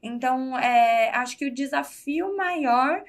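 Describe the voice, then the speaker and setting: A woman from Brazil with a slightly higher voice speaks in a casual interview. She talks candidly and confidently, with plenty of fillers. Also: lively intonation, takes it slowly. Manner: candid, self-assured, chatty with fillers. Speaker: guest, entrepreneur.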